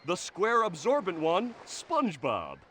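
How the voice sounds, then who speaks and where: An actor playing an easygoing, friendly beach lifeguard jock in a cartoon video game, with a surfer drawl. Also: male voice, high voice, wildly swooping pitch, unhurried, bright, forceful tone, a little hiss or hum, exaggerated voice. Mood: relaxed, upbeat.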